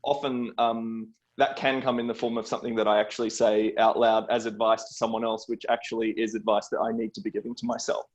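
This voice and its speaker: A man's voice: fairly low pitch, little pitch movement, brisk, hardly any pauses. A middle-aged man with an Australian accent, speaking as a guest film producer in a conversational storytelling style on a video call.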